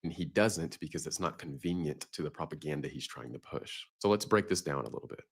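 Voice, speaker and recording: Very deep, gravelly man rebutting calmly. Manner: calm, measured rebuttal. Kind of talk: commentary narration in a video clip.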